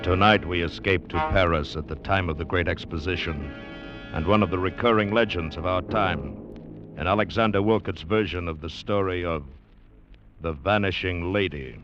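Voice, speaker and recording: A male American announcer with a very low voice narrates old radio suspense. His delivery is slow and ominous, over clear music on a muffled vintage recording. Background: dramatic music.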